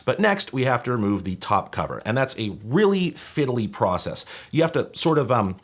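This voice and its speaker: Casting: male video host, mid-pitched and very lively in intonation, casual hands-on tech explainer, wry and colloquial. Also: normal speed. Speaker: video host presenting vintage electronics.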